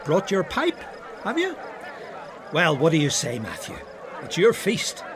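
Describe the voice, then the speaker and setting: A man's voice: a little high, huge pitch swings, a little slow, crowd noise, noisy background. An actor playing a snobbish, mocking man at a feast in a radio drama, chuckling as he talks. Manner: sneering, amused, chuckling. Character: mocking older man, snobbish party guest.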